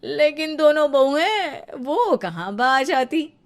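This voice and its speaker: A woman narrating a scripted comic moral story in an animated storytelling style. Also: high-pitched, slow pace.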